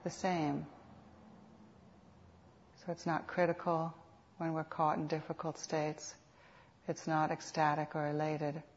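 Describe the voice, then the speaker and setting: A woman teaching guided meditation in an unhurried, soothing manner with reflective pauses, on a dull lo-fi recording. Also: low voice, a little mellow. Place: meditation center. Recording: dull, lo-fi live talk recording.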